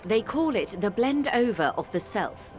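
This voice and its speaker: An actress playing a composed, wealthy middle-aged corporate negotiator in a video game, speaking in a measured, articulate, world-weary way. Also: female voice, a little high, sing-song, a little mellow, soft background music. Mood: grave, explanatory. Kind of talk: video game character dialogue.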